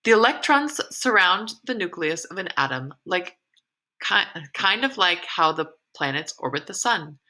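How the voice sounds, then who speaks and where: Bright-voiced woman teacher reading aloud. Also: lowish voice, very animated, unhurried. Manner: encouraging, explains as she reads, with slips and self-corrections. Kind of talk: reading a science article aloud for a class.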